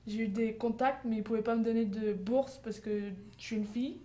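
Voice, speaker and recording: A woman's voice: fairly high pitch, fairly even intonation, brisk, dark tone. A young woman from France, answering interview questions fluently. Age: young adult. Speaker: guest, softball player.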